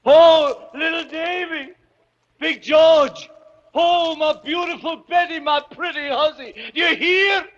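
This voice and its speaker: A man's voice: very high-pitched, steady tone, slow, a bit bright, heavily acted. An actor playing an old bell-ringer in a 1940s radio drama, crooning fervently in folksy archaic dialect about bells he treats as his children. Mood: grieving. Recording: muffled vintage radio broadcast with music.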